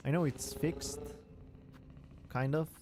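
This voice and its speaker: A man's voice: on the high side, steady tone, takes it slowly, music in the background. A man from Spain, a native Spanish speaker, chatting casually with his viewers while playing a game and laughing easily. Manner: chatty, answers chat, laughs easily, fillers like "like". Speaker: streamer. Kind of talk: casual live game stream chat.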